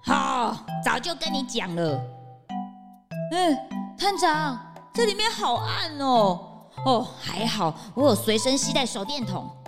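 A woman voicing a small, excitable, whiny detective's sidekick in a children's audio drama, with dramatic drawn-out exclamations. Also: sits high, music in the background, full character voice. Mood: grumbling, puzzled.

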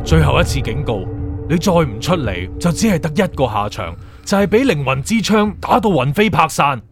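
A man's voice: on the high side, expressive, brisk. Man acting a domineering soul prosecutor, swooping delivery. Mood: menacing threats. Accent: Hong Kong Cantonese. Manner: commanding, threatening, pompous. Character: ruthless, domineering male soul prosecutor hunting fugitives. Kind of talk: radio drama acting. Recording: music bed behind the voice.

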